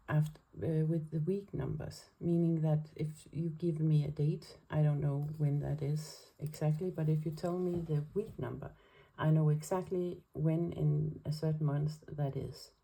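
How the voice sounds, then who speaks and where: A woman's voice: sits low, steady tone, measured pace, creaky, mellow, slightly rough, poor audio. A woman from Denmark with a Danish accent, speaking English as a second language, chatting hesitantly while setting up her weekly planner. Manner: hesitant, many fillers, careful. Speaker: planner vlogger. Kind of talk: casual chat while planning.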